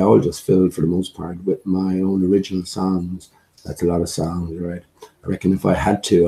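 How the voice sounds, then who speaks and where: A slightly hoarse man talking casually and hesitantly on a livestream, musing as he rambles along. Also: deep voice, dark tone, rough recording. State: slightly hoarse, occasional coughing. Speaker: livestream host and amateur musician.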